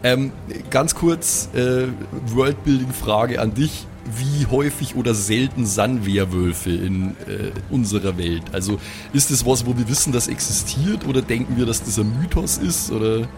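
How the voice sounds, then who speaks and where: A man's voice: medium pitch, moderate pace, music in the background. A man chatting casually as a player in an improvised tabletop role-playing game.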